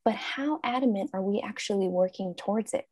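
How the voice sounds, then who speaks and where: A woman's voice: mid-range voice, fairly quick, no room sound, studio quality. A woman life coach, a guest in an interview, talking casually and acting out her own thoughts in quotes. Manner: animated, acts out her own quoted thoughts, frequent laughs.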